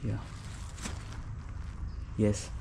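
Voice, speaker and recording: A young Kenyan man filming a casual vlog outdoors in a rural yard, speaking to his viewers in a relaxed, low-key way. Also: extremely slow. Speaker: vlogger. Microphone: small clip-on mic. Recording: outdoor noise behind the voice.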